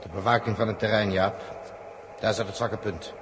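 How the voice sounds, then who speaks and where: An actor playing a gruff, impatient spaceship crewman in 1960s Dutch radio drama, with a Netherlands accent. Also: fairly low pitch, steady tone, normal speed, big-room sound, natural voice. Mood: gruff, blunt. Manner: gruff, blunt. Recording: noisy old 1960s radio recording.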